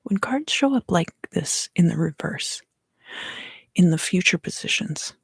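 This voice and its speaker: A woman in her thirties gives an ASMR tarot reading in hushed, unhurried, deliberate phrases, close to the microphone. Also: on the low side, animated, gravelly, husky, no echo. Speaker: tarot reader and ASMR host. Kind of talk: ASMR tarot reading, whispered and softly spoken.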